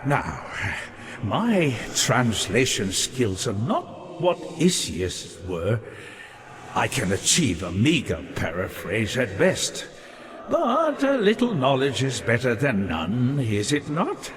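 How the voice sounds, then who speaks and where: A man's voice: mid-range voice, wildly swooping pitch, slow pace. An actor voicing a scholarly, ghostly male librarian in a fantasy game, speaking in an erudite, florid, musing manner with fascination and melancholy. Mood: eager, courteous. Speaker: non-player character in a fantasy game. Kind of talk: theatrical game dialogue, reading and translating old texts. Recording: long hollow reverb, noticeable background hiss.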